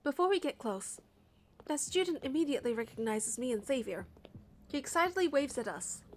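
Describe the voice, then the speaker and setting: A woman's voice: sits high, lively intonation, a little fast. A woman narrating a game playthrough as a first-person story, reading out the text and dialogue.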